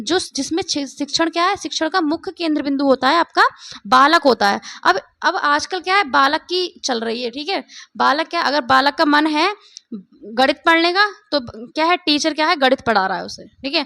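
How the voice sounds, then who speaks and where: A woman teacher with a hoarse voice, giving an exam-prep lecture and often checking that students follow. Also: sits high, pushed and bright, no echo. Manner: repeats points, keeps asking "ठीक है?".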